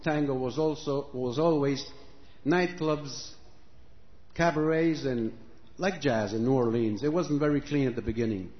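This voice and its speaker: A man's voice: medium pitch, a little slow. A man from Argentina introducing his music to a concert audience from an outdoor stage, switching languages and joking in a loose, conversational way. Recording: muffled live recording with audience.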